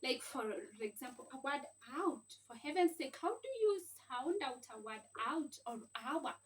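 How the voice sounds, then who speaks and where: A woman's voice: high-pitched, animated, moderate pace. A woman giving an informal reading lesson as a parent, explaining things in an emphatic, repetitive, teacherly way. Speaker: parent teaching early reading, video host. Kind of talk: informal instructional explanation to camera. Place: at home. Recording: distant mic, faint background noise, clicks.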